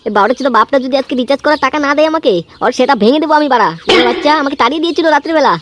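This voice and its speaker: A woman voicing a cheeky, foul-mouthed, lovestruck young man in a comedy cartoon, whiny, excitable and crude. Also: high voice, rapid-fire, close to an everyday voice. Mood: indignant, cursing. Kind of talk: amateur comedy cartoon dubbing. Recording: clearly noisy homemade cartoon audio.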